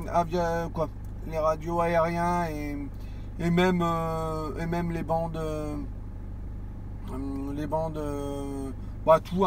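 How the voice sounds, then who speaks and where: CB operator: high-pitched man, halting casual talk from his car. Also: a little hiss or hum. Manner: hesitant, many restarts and fillers. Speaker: CB radio operator. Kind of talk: casual radio chat. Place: parked car.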